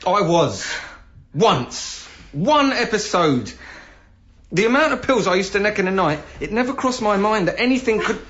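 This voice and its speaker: A man's voice: sits high, big pitch swings, normal speed, fairly bright tone, muffled recording. An actor playing an earnest young expectant father, a reformed party-going DJ, in a naturalistic radio drama. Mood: agitated, pleading. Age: young adult.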